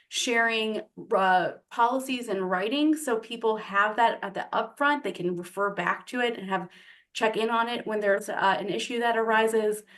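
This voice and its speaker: A woman on a webinar panel, explaining housing practices in a steady manner with frequent fillers. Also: medium pitch.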